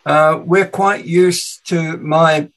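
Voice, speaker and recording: A man with an English accent mixed with Danish hosts a relaxed conversation. His voice sits fairly high, and he rambles a little as he works through his thoughts. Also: drawn-out words. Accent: English with Danish mix. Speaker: podcast host. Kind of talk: casual chat.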